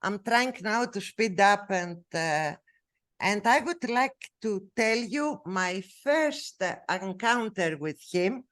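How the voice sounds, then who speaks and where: Greek-accented female scholar, non-native English speaker; slow, hesitant lecture delivery, animated intonation. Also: medium pitch. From Greece. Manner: halting, many fillers and self-corrections. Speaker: researcher giving a talk. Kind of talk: academic lecture.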